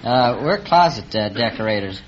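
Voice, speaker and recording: A man's voice: on the low side, lively intonation, moderate pace. An American man answering informally and a little hesitantly as a quiz show contestant. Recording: very noisy, dull vintage radio recording.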